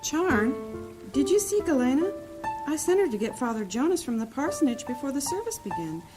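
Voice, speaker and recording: A woman's voice: high voice, big pitch swings, normal speed, over music, poor audio. A woman playing a concerned, busy village woman in a fantasy audio drama. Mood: worried.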